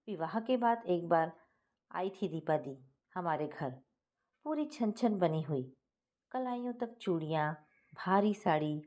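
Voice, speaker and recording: A woman's voice: lowish voice, darkish tone. A woman reading a scripted first-person family story as its narrator.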